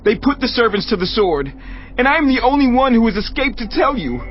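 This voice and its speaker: A man's voice: extremely high pitch, very animated, fairly quick, slight background noise. A man voicing a panicked messenger bringing bad news in a dramatized scripture reading, urgent and breathless. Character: panicked male messenger, young adult. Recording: dull lo-fi recording.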